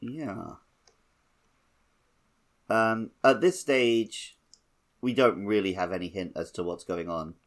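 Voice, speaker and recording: Low-voiced man chatting on a podcast. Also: fairly even intonation, takes it slowly, long pauses. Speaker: podcast host. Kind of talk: casual game discussion podcast.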